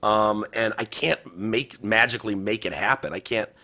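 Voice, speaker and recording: A man's voice: lowish voice, fairly even intonation, normal speed, sharp tone. A man co-hosting a podcast, chatting casually about politics, swearing freely and slipping into mocking impressions. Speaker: podcast co-host.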